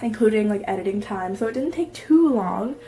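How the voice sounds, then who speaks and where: A woman's voice: mid-range voice, animated, a little fast, dark tone, low-quality recording. A young woman vlogging in a casual, chatty way, laughing often and a little stuffy from a cold. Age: young adult. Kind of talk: casual chat to camera.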